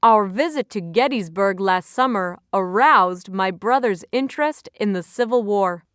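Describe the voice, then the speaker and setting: A woman reading scripted vocabulary entries and example sentences as an educational narrator, enunciating carefully in a measured way. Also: mid-range voice, expressive, fairly bright tone, clean tone, dry recording, studio quality.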